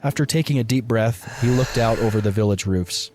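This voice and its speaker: Low-pitched male storybook narrator. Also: fairly quick, noisy background.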